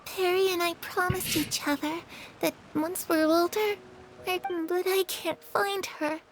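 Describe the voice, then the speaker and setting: A woman's voice: very high voice, steady tone, a little slow, a little hiss or hum, poor audio. An actress voicing a wistful, bitter orphan girl in a video game. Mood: wistful, anxious.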